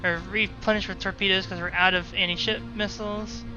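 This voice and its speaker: A woman's voice: medium pitch, little pitch movement, a little fast. A woman giving live gameplay commentary, explaining each command and move as it happens. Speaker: gaming video host. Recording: muffled narrow band, music behind. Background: game music and engine sounds.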